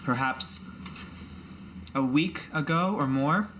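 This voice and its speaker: A man's voice: a little high, slow pace, sharp tone, slight background noise, rough recording. A man voicing a stern, formal woman innkeeper in a fantasy tabletop game, speaking in prim, wordy, indignant phrases. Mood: measured, explaining.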